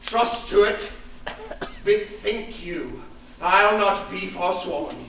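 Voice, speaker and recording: A man acting a furious noble father on stage, his voice sitting very high and bright, lines drawn out slowly between bursts of shouting. The sound is distant and very echoey, caught from far across a theatre with faint noise. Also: expressive, heavily acted. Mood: threatening. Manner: swings from low menace to shouting tirades. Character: stern, raging aristocratic father, middle-aged man. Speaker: stage actor.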